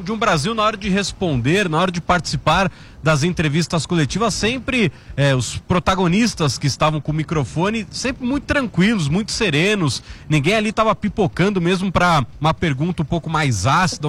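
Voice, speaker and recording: A man's voice: fairly high pitch, fairly bright tone, breathy edge. A man with a Brazilian accent hosting a sports talk show, chatty and often asking the others to agree. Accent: Brazilian Portuguese.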